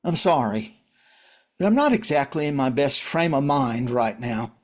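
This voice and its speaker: An actor playing a grieving, pleading widower and recovering addict in a drama reading, speaking earnestly and haltingly. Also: male voice, medium pitch, expressive, natural voice. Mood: upset. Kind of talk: script reading of a stage drama.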